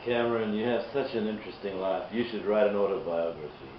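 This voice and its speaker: A man's voice: fairly low pitch, quick pace, close to an everyday voice. A man playing a friendly, earnest male film star in a live comedy puppet show. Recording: distant, muffled, noisy, echoey room recording.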